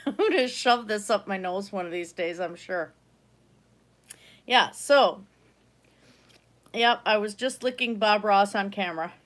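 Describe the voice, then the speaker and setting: Slow-talking stuffy-nosed woman streamer. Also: medium pitch, fairly bright tone. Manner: rambling, chatty, laughs often. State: stuffy from a cold. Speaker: livestream host. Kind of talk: casual livestream chat.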